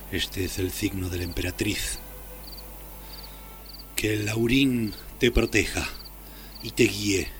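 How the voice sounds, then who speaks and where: A solemn imperial messenger of fantasy radio theatre, speaks in a low register. He is slow, deliberate and portentous, with music playing clearly underneath. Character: grave, solemn male messenger and sage of the imperial tower. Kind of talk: radio drama acting.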